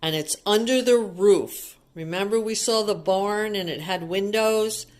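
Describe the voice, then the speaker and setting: A woman's voice: medium pitch, a little slow. A woman reading a picture book aloud to young children like a teacher, explaining things simply and asking them questions.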